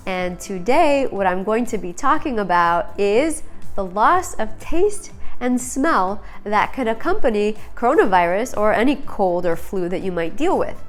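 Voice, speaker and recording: A woman with a stuffy, congested voice, a nutrition counselor talking earnestly to her channel's viewers in a personal vlog, often pausing to search for words. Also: medium pitch.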